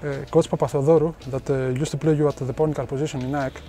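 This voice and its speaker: A man interviewing a basketball player, asking long questions with frequent hesitant pauses. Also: a little high, flat delivery, warm tone, faint music behind. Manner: hesitant, many filler pauses.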